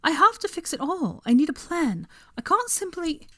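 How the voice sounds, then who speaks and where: An overworked young woman in a storybook, voiced by a woman reader. Her voice is pitched high and swings about, insistent and fretful, with a stubborn streak under the tiredness. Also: sing-song, moderate pace, bright, forceful tone, heavily acted. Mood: fretful, panicked.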